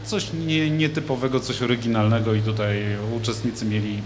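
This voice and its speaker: A man's voice: mid-range voice, a little fast, background noise. A man in his thirties, a studio guest, speaks casually with many fillers and restarts about a local event, holding a handmade microphone. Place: large TV studio with a glass roof. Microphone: handheld mic.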